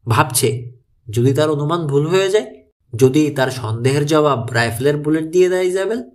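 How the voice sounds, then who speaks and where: Guy reading a mystery audiobook, kinda high voice, really lively and suspenseful storytelling. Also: moderate pace. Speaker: audiobook narrator. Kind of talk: dramatic scripted mystery story narration.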